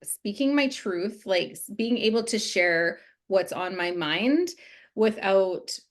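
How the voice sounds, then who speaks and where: A woman's voice: medium pitch, lively intonation, takes it slowly. A woman co-hosting a podcast, talking casually and openly about herself in a reflective way. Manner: candid, self-reflective. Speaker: podcast co-host. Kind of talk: casual personal chat.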